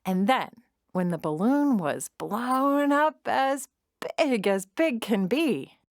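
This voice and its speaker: A woman narrating a children's storybook drama in an expressive, deliberate storytelling manner. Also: fairly high pitch, dry recording.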